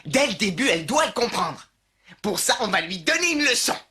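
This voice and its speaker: An actress voicing a bossy, scheming schoolboy ringleader, commanding and teasing, in a dubbed cartoon with music behind. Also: female voice, mid-range voice, big pitch swings, a little fast, noisy background, close to an everyday voice.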